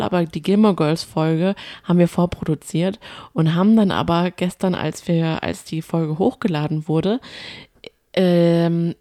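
A woman's voice: fairly low pitch, dark tone, dry recording, clean studio sound. A young woman co-hosting a podcast, talking casually in a chatty, rambling way. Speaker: podcast co-host. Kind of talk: casual chat.

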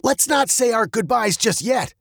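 A man's voice: very high-pitched, animated, normal speed, gravelly, fairly bright tone, slightly rough, no room sound, studio quality, voice pushed up, exaggerated voice. A man voicing a bold, unflappable father in an adventure storybook. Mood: confident, urgent. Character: bold, unflappable dad with a daring plan.

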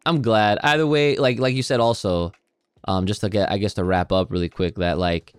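A man's voice: lowish voice, fairly even intonation, normal speed, clean tone, no echo, studio quality, soft background music. A man co-hosting a podcast, talking casually with many fillers and restarts. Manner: rambling, lots of fillers and restarts. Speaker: podcast co-host. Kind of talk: casual chat.

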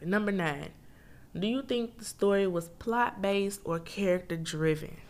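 A woman's voice: medium pitch, measured pace, darkish tone. A woman from Memphis hosts a casual book review. She talks to her listeners in a chatty, slangy way. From Memphis, Tennessee.

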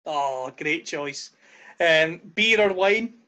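A man's voice: on the high side, lively intonation, slow, bright, forceful tone. A man hosting an interview, asking questions in a friendly, upbeat way. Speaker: interviewer, podcast host.

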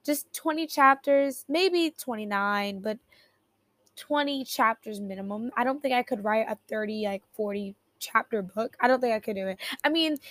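Raspy-voiced young woman rambling. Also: a little high, normal speed, fairly bright tone, no room sound. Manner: rambling, self-interrupting, giggly. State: raspy just-woken voice. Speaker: podcast host. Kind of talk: casual solo podcast chat.

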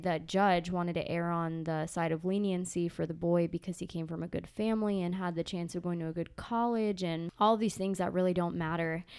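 A woman talks through a news story on a podcast, her voice nasal and congested. She pitches a little low, and the tone stays smooth and clear despite the stuffiness. She rambles casually with plenty of fillers. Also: darkish tone. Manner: chatty, rambling with many fillers. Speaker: podcast co-host. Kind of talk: casual chat.

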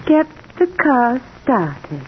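Childlike, devoted sister in vintage radio drama: high, unhurried, very melodic delivery. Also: female voice, sing-song, heavily acted. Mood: eager, trusting. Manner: naive, eager, girlish. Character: childlike, clinging, trusting sister of a pianist. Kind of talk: old-time radio drama acting. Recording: muffled, noisy vintage radio recording.

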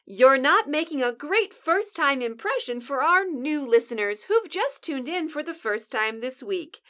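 A woman's voice: high voice, animated, a little slow, bright, forceful tone, exaggerated voice. A woman playing a bossy, sarcastic apocalypse survivor who co-hosts a radio show with dry exasperation. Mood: irritated. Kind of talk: scripted comedic audio drama, bantering radio show.

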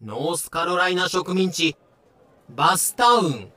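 A man's voice: high voice, lively intonation, unhurried, sharp tone. A man narrating a scripted audio drama in a clipped, announcing manner. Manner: clipped, announcing dates and titles.

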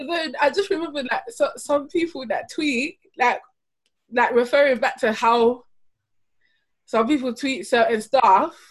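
A woman's voice: high-pitched, measured pace, low-quality recording. A woman co-hosting a casual podcast, teasing the others and laughing often.

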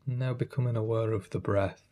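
A man leading a guided meditation as a calm, gentle guide, giving unhurried instructions. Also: on the low side.

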